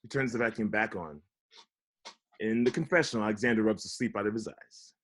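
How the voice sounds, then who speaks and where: A man's voice: on the low side, fairly quick, fairly bright tone. A man reading out stage directions as narrator for a comedy script table read.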